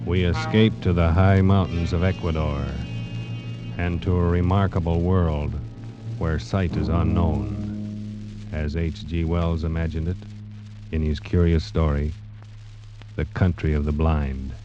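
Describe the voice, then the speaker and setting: A man's voice: low-pitched, flat delivery, measured pace. A man announcing a radio drama in a dramatic, portentous 1940s scripted style. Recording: dull vintage radio recording with music. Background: dramatic music.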